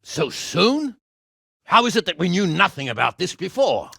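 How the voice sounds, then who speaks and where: An actor playing a gruff, sardonic middle-aged medieval lord and commander in a video game, blunt and coarse, with a gravelly voice. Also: male voice, high voice, big pitch swings, normal speed, bright, forceful tone, dry recording, clean studio sound, heavily acted. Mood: concerned, businesslike. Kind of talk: dramatic video game voice acting.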